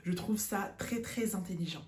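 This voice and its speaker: A woman's voice: medium pitch, normal speed, a little mellow. Chatty woman, echoey room. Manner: chatty, many hesitations, mixes in English words. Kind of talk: casual chat to camera.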